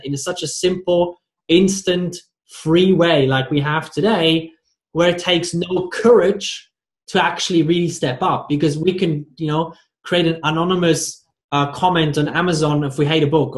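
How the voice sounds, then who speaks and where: The host of an interview podcast in an echoey room, a man with a slightly high voice who circles and restarts his way toward each question. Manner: rambling, stumbles and repeats words. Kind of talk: conversational interview. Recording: roomy, echoey sound.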